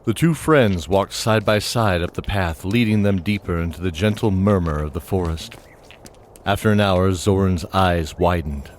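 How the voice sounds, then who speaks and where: A man narrating a fantasy audio drama in a measured, descriptive storytelling style, with faint music behind him. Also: deep voice, a little hiss or hum.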